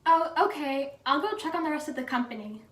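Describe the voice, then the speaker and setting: A woman's voice: high voice, fairly quick, a bit bright. A woman playing a concerned, polite station staffer in a 1940s radio play.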